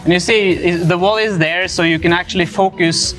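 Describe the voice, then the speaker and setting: Calm, encouraging male coach. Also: high voice, brisk, faint music behind. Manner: calm, encouraging, explains step by step. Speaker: handstand coach. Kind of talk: casual coaching session.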